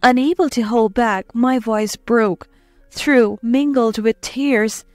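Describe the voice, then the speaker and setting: High-voiced woman narrating a dramatic story. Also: takes it slowly, no echo. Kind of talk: first-person dramatic scripted narration. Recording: dry close voice over faint music. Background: soft background music.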